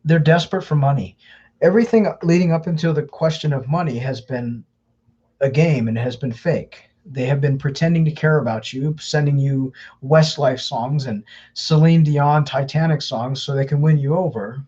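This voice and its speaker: Husky-voiced man giving earnest advice. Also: fairly high pitch, moderate pace, warm tone, lo-fi sound. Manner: earnest, advising, repeats words often. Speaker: host answering viewer questions. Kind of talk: live Q&A, casual explaining.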